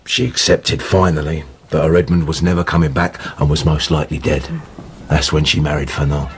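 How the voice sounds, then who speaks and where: Radio play: wary, sly barfly storyteller, low-pitched, wry and conspiratorial. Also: male voice, level tone, a little fast, a little hiss or hum. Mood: gossipy. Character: seedy gossiping male tavern drinker, sly and wary. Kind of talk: radio drama acting.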